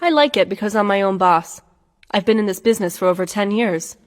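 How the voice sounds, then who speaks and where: Woman, scripted lesson dialogue, clear measured reads; long hall reverb on the track. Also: mid-range voice. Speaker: dialogue reader in English lessons.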